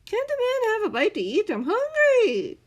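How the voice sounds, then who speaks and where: A woman voicing a calm, whimsical male hermit who talks in a light, teasing and mild way. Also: very high-pitched, normal speed, voice pushed up, heavily acted.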